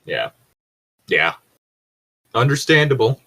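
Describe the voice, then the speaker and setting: A man's voice: mid-range voice, big pitch swings, takes it slowly, vocal fry, bright, forceful tone. A man chatting casually as a podcast co-host, swearing freely, rambling and correcting himself as he goes. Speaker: podcast co-host reading and discussing creepypastas. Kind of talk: casual commentary chat.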